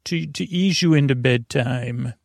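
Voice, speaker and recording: Creaky-voiced man rambling a bedtime monologue. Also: mid-range voice, dry recording, studio quality. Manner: meandering, halting, full of tangents and self-corrections. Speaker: podcast host. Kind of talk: rambling bedtime monologue meant to lull listeners to sleep.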